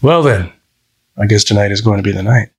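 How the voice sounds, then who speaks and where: A man voicing a sweet, confident, flirtatious man on a date in an audio story, with a husky voice and gentle, mischievous manner. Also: on the low side, unhurried, noisy background. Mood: mischievously flirty.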